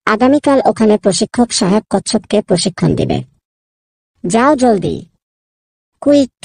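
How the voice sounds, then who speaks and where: A woman's voice: medium pitch, animated, moderate pace, darkish tone, slightly rough, no room sound. A woman voicing a fussy, inquisitive busybody animal who bosses everyone around in a children's cartoon. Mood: bossy, eager. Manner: inquisitive, bossy, repeats a catchphrase.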